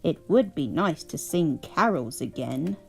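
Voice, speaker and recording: A woman voicing a cheerful little blue male tank engine who speaks in a gentle, wistful way. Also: low-pitched, animated, unhurried, gravelly, soft background music, heavily acted.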